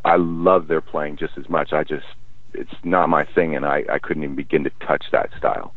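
A man who is a musician, talking in a relaxed, thoughtful way and often restarting his sentences in a casual interview. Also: very deep voice, moderate pace, no echo. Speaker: musician guest. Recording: tinny phone line, dry.

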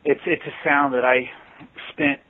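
A man's voice: mid-range voice, little pitch movement, unhurried. A man, a guitarist and singer in a band, answering interview questions at length with many restarts. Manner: thoughtful, rambling with restarts. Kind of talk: phone interview. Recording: tinny phone line.

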